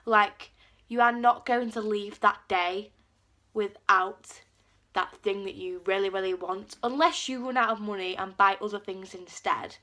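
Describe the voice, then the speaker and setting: Bright-voiced teen girl, chatty. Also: medium pitch, unhurried. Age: teens. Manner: chatty, lots of "like" fillers, acts out quotes. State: has a cold. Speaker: vlogger. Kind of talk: casual talk to camera.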